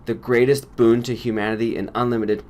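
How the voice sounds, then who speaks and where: A man puts on an impatient research partner for a storybook reading. The delivery is brusque and insistent, with forceful, snapping phrases. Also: medium pitch, flat delivery, fairly quick, natural voice.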